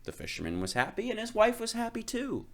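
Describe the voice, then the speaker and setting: A man's voice: sits high, huge pitch swings, a little fast, vocal fry. A man narrating a children's storybook aloud as a storyteller.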